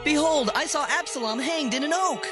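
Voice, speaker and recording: Earnest soldier over loud music female actor. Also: sits high, normal speed, natural voice. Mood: urgent. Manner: emphatic, protesting, archaic speech. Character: earnest, principled common soldier bringing a report. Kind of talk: dramatized scripture acting.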